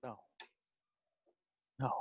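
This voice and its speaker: Slow, bitter villain, played by a man. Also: muffled recording. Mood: disbelieving. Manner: terse, deliberate. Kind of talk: sci-fi audio drama acting.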